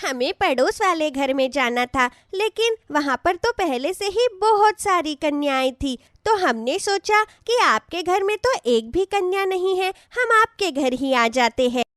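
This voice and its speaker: Woman doing a high, innocent little girl. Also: animated, unhurried, hardly any pauses, fairly bright tone, dry recording, studio quality, full character voice. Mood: cheerful, innocent. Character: sweet, innocent little girl visiting for prasad.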